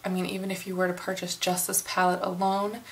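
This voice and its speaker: A middle-aged woman from the United States giving a casual makeup review in an unhurried, chatty way, hoarse and sniffling from a cold. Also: fairly low pitch, monotone, slight background noise. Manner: unhurried, chatty, many fillers. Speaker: beauty vlogger.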